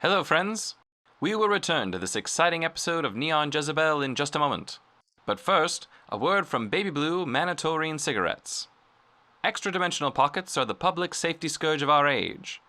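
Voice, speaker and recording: A man playing an earnest old-time radio pitchman, delivering a polished, salesmanlike vintage advertisement. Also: very animated, normal speed, sharp tone.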